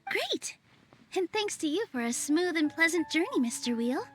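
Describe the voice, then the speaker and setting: A woman's voice: very high voice, sing-song, moderate pace, faint music behind. A woman voicing a confident, playful young female yokai courier in a video game, chatty and curious. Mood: grateful, upbeat. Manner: chatty, breezy.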